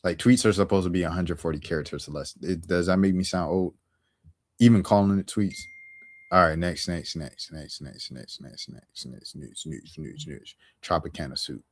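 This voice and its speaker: A young man with a very deep voice reacts to clips on a stream. He talks in a laid-back, joking way, full of slang and shout-outs. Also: normal speed. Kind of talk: casual reaction commentary.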